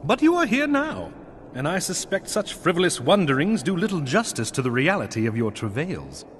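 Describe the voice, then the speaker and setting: Casting: gracious lord of a knightly outpost, fantasy game; formal old-world diction, highly varied intonation. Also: male voice, sing-song, moderate pace, a little hiss or hum. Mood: welcoming, curious. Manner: formal, archaic, courtly phrasing. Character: earnest, gracious nobleman commanding a snowbound knightly outpost. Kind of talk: scripted fantasy game dialogue.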